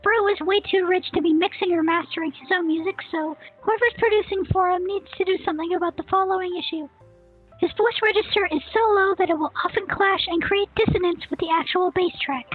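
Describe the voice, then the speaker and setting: A woman's voice: very high-pitched, steady tone, a little fast. A woman voicing a smug young boy savant who hosts a snarky scripted commentary rant. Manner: smug, snarky, self-assured. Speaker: commentary channel host. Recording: tinny phone-like sound, faint music.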